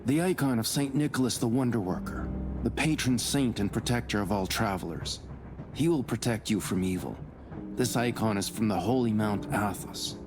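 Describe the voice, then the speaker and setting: An actor playing an anxious, pious gentleman in a visual novel, formal and earnestly pleading. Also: fairly low pitch, moderate pace, close to an everyday voice. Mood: pious, solemn. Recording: voice over prominent background music.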